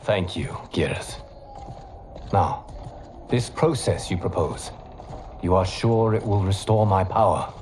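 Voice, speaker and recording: A low-ish, gentle voice of a thoughtful god, measured and earnest. Steady background noise and ambience sit under the lines. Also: male voice. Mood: reflective. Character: humble thoughtful male god, leader. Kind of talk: video game cutscene acting. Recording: game audio with noisy ambience.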